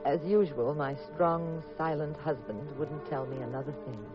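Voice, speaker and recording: A woman's voice: sits low, moderate pace, loud music behind the voice, natural voice. Woman as pert 1950s radio-mystery wife, muffled sound. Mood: wry, narrating. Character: spirited, inquisitive young wife and sleuth's sidekick. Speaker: lead actress and narrator in radio mystery. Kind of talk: 1950s radio acting and narration.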